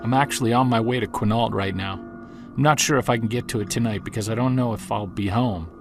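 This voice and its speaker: Guy acting creaky voiced bigfoot hunter man, kinda wistful n earnest, talking into his field journal, audio drama. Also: fairly low pitch, fairly quick, music in the background, close to an everyday voice. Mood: resigned, teasing. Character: frustrated, wistful separated man who hunts Bigfoot.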